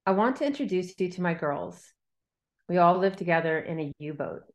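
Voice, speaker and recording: A woman reading bossy kid narrator from a novel, lowish voice, measured and declarative. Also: animated. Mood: bold, matter-of-fact. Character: bold, bossy girl narrator living in a submarine.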